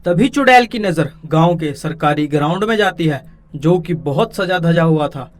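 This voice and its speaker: A man narrating a scripted animated story for children as its storyteller. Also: fairly high pitch, moderate pace.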